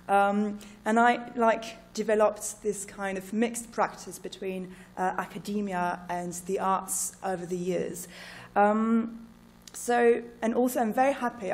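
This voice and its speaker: A French woman in her thirties, speaking English with a partly British-tinged accent, presenting her research project informally with many fillers and "like". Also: medium pitch. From France.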